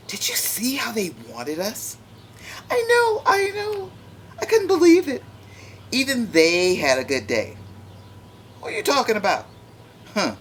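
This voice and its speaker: A woman voicing excited, bantering farm-market folk from a story, exclamatory and incredulous. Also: medium pitch, a little hiss or hum, voice pushed up. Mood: teasing disbelief.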